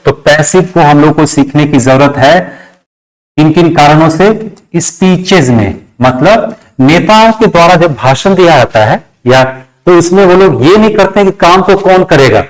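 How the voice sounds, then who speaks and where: A man's voice: fairly high pitch, expressive, normal speed, low-quality recording. A man teaching in a classroom lecture style, repeating key phrases and asking rhetorical questions in a didactic way. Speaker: English grammar teacher.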